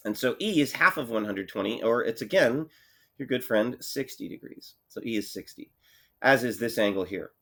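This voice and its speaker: A male math teacher with a cold and a congested voice, talking his students through problems in a relaxed way, thinking aloud with many fillers. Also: lowish voice. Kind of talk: recorded lesson walkthrough.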